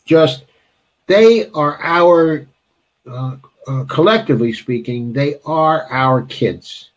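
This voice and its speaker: A man's voice: a little high, slow pace, poor audio. A man hosting a political interview, hesitant with many fillers but blunt and emphatic in his views. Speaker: interviewer, host.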